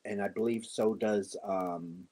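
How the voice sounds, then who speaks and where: A man hosting a crochet live stream, chatting casually with halting pauses and answering viewer comments. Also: lowish voice, steady tone, mellow, husky. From United States. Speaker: crochet show host.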